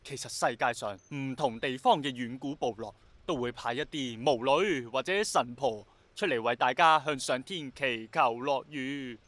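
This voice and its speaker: A man's voice: medium pitch, fairly bright tone, no room sound, lightly acted. An actor with a Hong Kong Cantonese accent playing an earnest, dreamy young man who is obsessed with bringing back rain, in a radio drama. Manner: passionate, persuasive, romantic-minded.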